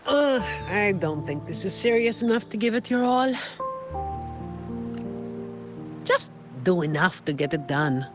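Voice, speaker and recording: A woman's voice: medium pitch, expressive, normal speed, lightly acted. A woman playing a composed, work-weary young female operative in a video game, speaking in a casual, dry, teasing way. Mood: wry, easygoing. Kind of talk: scripted game dialogue. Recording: loud music behind the voice. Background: music.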